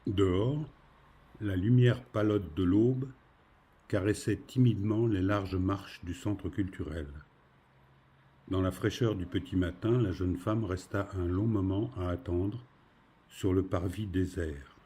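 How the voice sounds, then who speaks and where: A man reading literary fiction as a narrator, in a measured storytelling manner. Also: low-pitched, mellow, husky.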